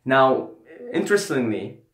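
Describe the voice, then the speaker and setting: A man's voice: mid-range voice, very animated, unhurried, fairly bright tone, roomy sound. A young man from Syria telling a casual personal story, rambling and often adding "right?" and "you know". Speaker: vlogger.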